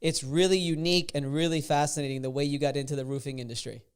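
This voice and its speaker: A man in his thirties hosting a casual podcast interview, energetic and chatty, laughing easily as he talks. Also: a little high, quick pace, smooth. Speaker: podcast host.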